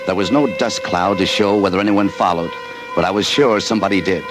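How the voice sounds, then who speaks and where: Actor playing a deep, tough American radio adventurer. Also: male voice, fairly quick, natural voice. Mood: brooding, tense narration. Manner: tough, clipped, slangy. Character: hard-bitten American adventurer narrating a treasure hunt, wary. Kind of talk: 1940s radio drama acting with first-person narration. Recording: vintage 1940s radio recording with music.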